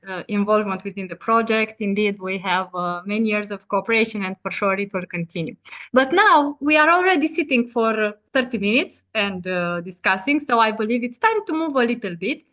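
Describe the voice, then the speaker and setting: A woman's voice: medium pitch, normal speed, a bit bright. A woman moderating an informal webinar, speaking politely and a little hesitantly in non-native English.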